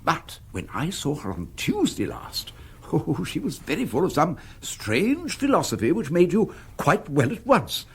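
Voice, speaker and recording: Fussy, husky, swooping husband. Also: male voice, on the high side, huge pitch swings, a little slow, exaggerated voice. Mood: hesitant, gossipy. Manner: hesitant, fussy, deferential. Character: fussy, devoted, precious husband with poetic pretensions. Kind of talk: British radio comedy drama acting.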